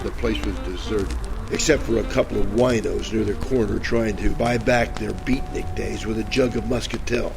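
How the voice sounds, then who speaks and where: A man's voice: lowish voice, gravelly, rough recording. A man playing a hard-luck, bumbling tough-guy detective with a wry, hard-boiled delivery in an old-time radio detective style. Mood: wry, narrating. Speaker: narrator and lead character. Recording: music under the voice.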